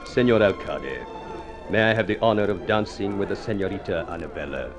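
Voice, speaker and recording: An actor playing a proud, suave Englishman with a grand, deliberate, theatrical delivery in an old radio drama. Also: deep voice, lively intonation. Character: ugly, masterful, silver-tongued Englishman, suave and proud. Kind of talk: 1950s radio drama acting and narration. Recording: old radio recording with music.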